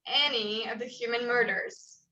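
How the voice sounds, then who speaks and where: Casting: actress as firm procedural chairwoman for staged reading, forceful and measured, dull roomy recording. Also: a little high, fairly even intonation. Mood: formal, firm. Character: official woman chairing a forest meeting, formal and firm.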